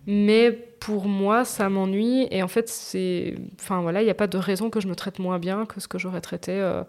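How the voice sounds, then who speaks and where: A woman's voice: medium pitch, steady tone, normal speed, darkish tone. Female podcast co-host, mid-range voice, open and informal, talking personally in slangy everyday speech. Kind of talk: casual, confessional podcast chat.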